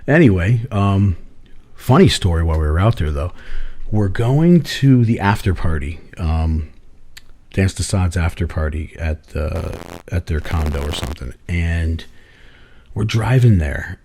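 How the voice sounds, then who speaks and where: A man co-hosting a podcast, telling rambling stories in a hoarse voice, swearing freely and laughing often. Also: low voice, lively intonation, measured pace, dark tone. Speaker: podcast co-host. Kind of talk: casual chat.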